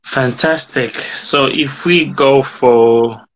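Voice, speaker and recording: A man's voice: medium pitch, slow, fairly bright tone. A man hosting a casual interview show, upbeat, switching between English and French. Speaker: bilingual host. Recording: dull lo-fi sound.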